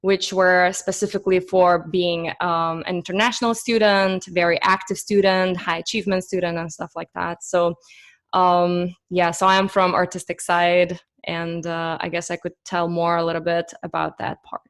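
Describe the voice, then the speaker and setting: A woman's voice: lowish voice, little pitch movement, moderate pace. A woman, a composer and vocalist on a panel over a video call, talking earnestly in long run-on lists. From Lithuania. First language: Lithuanian. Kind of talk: casual panel talk.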